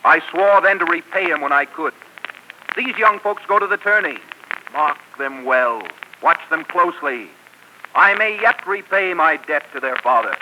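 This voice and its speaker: An actor playing a gallant, good-humored outlaw leader in a 1940s radio drama, speaking in a courtly, old-fashioned way. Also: male voice, a little high, animated, moderate pace, dull audio, close to an everyday voice. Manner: courtly, archaic, laughing. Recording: noisy 1940s radio broadcast.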